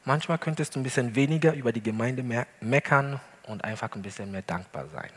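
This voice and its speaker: A male pastor, not a native German speaker, preaching an earnest sermon in a church hall with deliberate emphasis. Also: mid-range voice, animated, fairly bright tone, slightly rough. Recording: long hall reverb.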